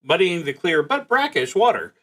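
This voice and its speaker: A man streaming a solo tabletop roleplaying game, thinking aloud in a halting, musing way with pauses as he goes. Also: high voice, very animated, fairly bright tone.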